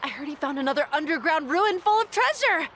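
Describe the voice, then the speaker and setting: A woman voicing an excitable young tribeswoman eager to see a famous guide. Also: high-pitched, brisk, a little hiss or hum. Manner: eager, urging.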